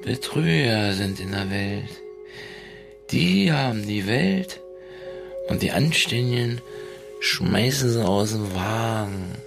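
An actor playing an injured, bitter and stubborn hospital patient in a radio drama, halting and pained. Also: male voice, fairly low pitch, expressive, vocal fry, heavily acted. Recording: music behind the dialogue.

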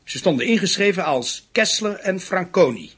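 An earnest junior detective in a radio drama. His voice sits a little high and has a husky grain. He reports dutifully and precisely to his superior. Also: expressive, moderate pace, fairly bright tone, exaggerated voice. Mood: earnest, reporting. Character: dutiful male police assistant, earnest and precise.

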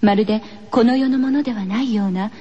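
Casting: actress for a schoolteacher heroine, high feminine voice, firm and uneasy, telling a radio ghost story. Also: female voice, fast, warm tone, dull audio, slight background noise, natural voice. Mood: hushed, eerie narration. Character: female schoolteacher, firm but uneasy, narrating a horror tale. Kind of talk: radio horror drama acting.

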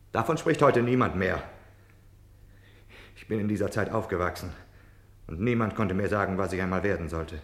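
A man's voice: mid-range voice, fairly bright tone, reverberant, lightly acted. A man playing a defensive, self-pitying Nazi official on wartime leave in a German radio play, justifying himself between subdued confession and indignant outbursts.

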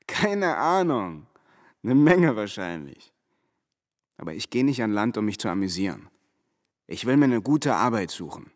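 An actor playing a restless, ambitious young French ship's hand in a radio drama, defiant and emphatic. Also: male voice, on the low side, very animated, a little slow, pauses often. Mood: determined.

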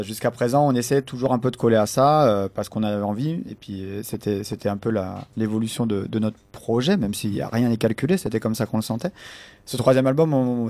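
A man who plays in a band, talking conversationally in an interview, halting and correcting himself as he searches for words. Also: medium pitch, a little mellow, no room sound. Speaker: band musician.

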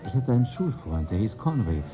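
Lowish-voiced inquisitive educated gentleman. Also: sing-song, brisk, music in the background. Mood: reflective. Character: educated medical author, curious, questioning old school friend. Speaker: actor in a radio drama. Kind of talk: 1980s German radio play dialogue.

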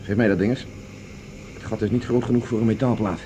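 An actor playing Tony, a resourceful man escaping Mars, who speaks calmly and decisively and gives short orders. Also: lowish voice, quick pace, a little hiss or hum, lightly acted. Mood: steady. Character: Tony, resourceful male space fugitive. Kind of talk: Dutch radio play acting.

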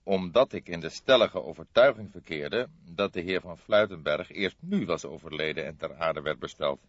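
A man voicing a grey-haired, courteous and shrewd old detective in an audiobook, speaking in a measured, formally polite way. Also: low voice, no room sound, highs cut off. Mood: probing, polite. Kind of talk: audiobook character voice.

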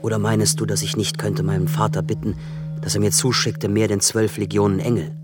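Grave radio-drama Jesus, acted by a man, lowish pitch, declamatory and solemn, under loud music. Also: level tone, brisk, lightly acted. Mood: solemn, admonishing. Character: Jesus, grave man reproaching and praying in a passion play. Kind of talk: German radio drama acting of scripture. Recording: heavy music behind the voice.

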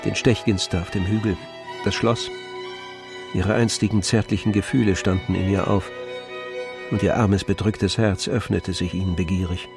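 A man narrating a literary radio drama in a measured storytelling manner. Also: sits low, fairly even intonation, gravelly. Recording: dry studio, music behind.

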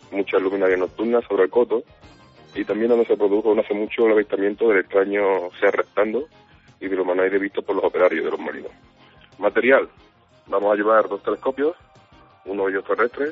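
A man from Seville, calling in to list his plans in a methodical, detailed way. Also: on the low side. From Seville, Spain. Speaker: caller. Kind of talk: listing plans in a recorded message. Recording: tinny phone-like line with faint music.

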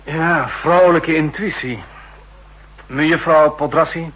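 An actor playing a stern, skeptical general who speaks formally and dryly in a 1960s radio drama. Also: male voice, on the high side, slow pace, fairly bright tone, heavily acted, poor audio. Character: stern, skeptical male general chairing a hearing. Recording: husky-sounding old 1960s radio recording.

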